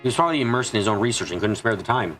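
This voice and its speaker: A man's voice: mid-range voice, animated, very fast, a bit bright, faint music behind. A man reading a visual novel aloud as dramatic scripted narration on a stream.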